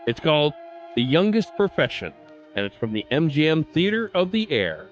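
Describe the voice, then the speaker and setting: A man's voice: a little high, very animated, normal speed, muffled recording, faint music behind. A man hosting a podcast, reading a scripted sign-off. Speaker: podcast host.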